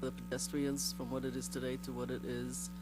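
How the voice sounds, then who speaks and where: A hoarse man with a cold, a board member at a village meeting, asking rambling and apologetic questions. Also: medium pitch, completely flat delivery, brisk, over music. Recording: mains hum under the voice.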